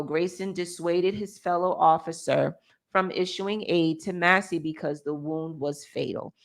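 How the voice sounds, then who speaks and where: Female American co-host, lower register, reads articles aloud informally with small self-corrections. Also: creaky. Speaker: co-host reading a news article. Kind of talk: reading aloud with casual asides.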